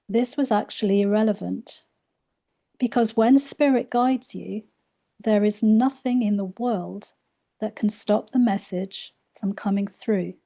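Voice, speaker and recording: Slow, mellow-voiced woman reading her book. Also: mid-range voice. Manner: soft, unhurried, spiritual. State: slightly croaky voice.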